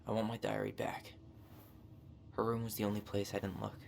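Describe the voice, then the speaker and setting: A wry, guarded young American in a story-driven game. The voice is gravelly and kept low-key, with dry, understated delivery. A faint noise sits under the recording. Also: male voice, completely flat delivery, a little fast. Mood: serious, determined. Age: young adult. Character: guarded, wry young man revisiting a painful childhood. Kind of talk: video game dialogue acting.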